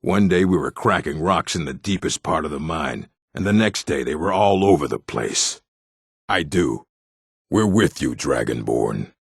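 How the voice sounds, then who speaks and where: Fantasy game orc: proud, curt and stern, deep rough voice, very dry close sound. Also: male voice, lively intonation, a little slow, dry recording, full character voice. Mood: gruff, curt. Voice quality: gravelly, rough. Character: gruff adult male orc, blunt proud fantasy warrior folk. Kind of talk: video game character voice lines.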